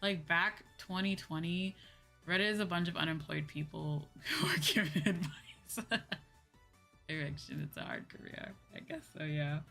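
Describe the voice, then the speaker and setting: Young woman, VTuber-style streamer. Bright tone, rambling casual chat with repeated phrases, music playing behind. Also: low voice, moderate pace, gravelly. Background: background music.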